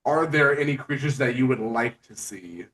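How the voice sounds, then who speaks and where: A man's voice: medium pitch, animated, moderate pace, a bit bright. A man hosting a casual interview in an informal, enthusiastic and agreeable way. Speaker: interview host. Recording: distant from the mic.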